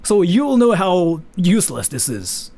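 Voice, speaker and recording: A man from Japan with a Japanese accent, joking excitedly through casual commentary. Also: high-pitched, a little slow. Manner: excitable, jokey, loud outbursts. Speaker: YouTuber.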